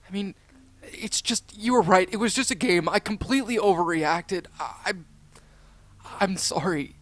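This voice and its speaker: Man voicing an emotional young pup, pitched up. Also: animated, slow, no room sound. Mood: apologetic. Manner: stammering, emotional. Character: emotional young male cartoon pup, sensitive and earnest.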